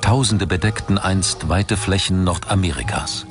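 A man reading scripted documentary narration in a measured, dramatic storytelling manner. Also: deep voice. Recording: music under the voice. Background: background music.

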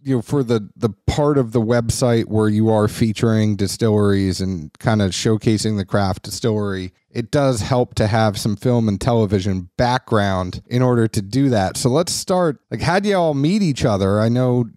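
A man's voice: fairly low pitch, moderate pace, few pauses, dark tone, no room sound. American man hosting a dry, close-sounding podcast. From Massachusetts, United States. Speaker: podcast host. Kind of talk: casual interview chat.

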